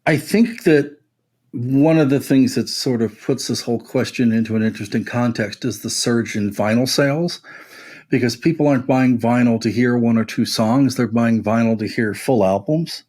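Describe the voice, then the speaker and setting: A man's voice: mid-range voice, moderate pace, a little mellow. A man co-hosting a podcast, explaining his views on music in a casual, analytical way. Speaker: podcast co-host.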